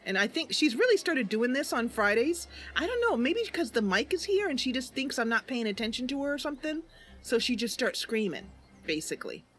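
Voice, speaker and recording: A woman's voice: high voice, very animated, fairly quick, fairly bright tone. A woman streamer casually narrating a game roleplay.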